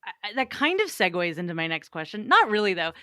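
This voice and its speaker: A woman's voice: on the low side, big pitch swings, fast talker, pushed and bright, smooth, dry recording, studio quality. A woman hosting a podcast interview in a casual, joking way, full of "like" and teasing asides. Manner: jokey, teasing, lots of "like". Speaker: podcast host.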